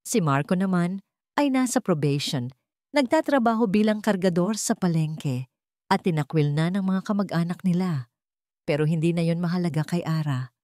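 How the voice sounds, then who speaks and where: Lower-voiced woman narrating a dramatic story. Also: a little mellow, dry recording, studio quality.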